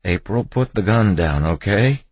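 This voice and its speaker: A man's voice: fairly low pitch, expressive, slow pace, slightly rough, dry recording, dull audio, voice pushed up, heavily acted. A man voicing a desperate husband in an audiobook, pleading urgently and emotionally with his terrified wife. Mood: tense, exasperated.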